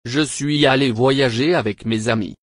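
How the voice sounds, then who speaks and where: A man's voice: mid-range voice, normal speed, dry recording, clean studio sound. A man reading short French answers for a language lesson in a plain, scripted way. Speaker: French dialogue reader.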